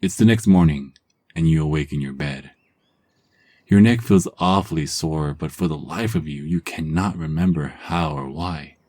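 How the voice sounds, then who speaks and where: A man tells a second-person story in an extremely deep voice with a husky edge. His delivery is calm and unhurried, meant to soothe, and it sinks into hushed whispers at the quietest moments. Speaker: narrator.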